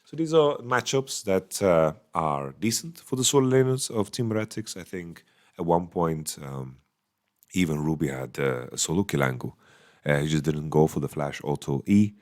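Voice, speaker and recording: A man with a slightly hoarse voice, casually giving a solo esports recap from a small hotel room into a microphone, thinking aloud with many fillers. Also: a bass voice, lively intonation, normal speed. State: voice going a bit hoarse. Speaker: esports analyst. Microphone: studio microphone.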